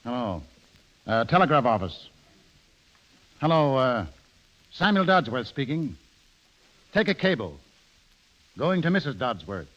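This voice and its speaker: A wronged, plain-spoken middle-aged husband in a 1930s radio drama. His voice sits a little high for a man and moves expressively, with terse, determined delivery. The old broadcast sound is dull and lo-fi. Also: a bit bright, full character voice. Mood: brisk, businesslike.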